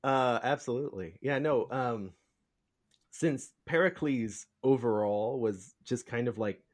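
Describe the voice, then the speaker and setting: A man hosting a casual podcast interview, talking in a halting, rambling way as he feels for words. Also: medium pitch. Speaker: podcast host.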